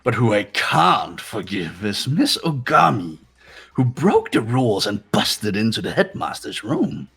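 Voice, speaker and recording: A man's voice: lowish voice, big pitch swings, measured pace, gravelly, a bit bright, husky, faint music behind, exaggerated voice. A man voicing a gleefully menacing, mocking robot bear headmaster in a fan-dubbed game, theatrical and teasing in sing-song swoops. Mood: smug, teasing. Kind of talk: fan voice acting of a visual novel game.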